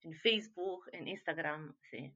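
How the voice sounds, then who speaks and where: A woman's voice: low-pitched, a little slow, bright, forceful tone, highs cut off. A woman from Italy with an Italian accent, a clarinet teacher answering interview questions in Spanish, hesitant with many fillers and restarts.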